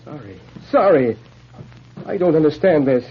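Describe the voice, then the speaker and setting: An actor playing an anxious, honest man on the run, halting and emotional, in old-time radio drama style. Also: on the high side, expressive, husky. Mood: pleading, distressed. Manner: halting, agitated, pleading. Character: desperate, honest male fugitive, anxious and emotional. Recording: muffled, noisy vintage radio recording.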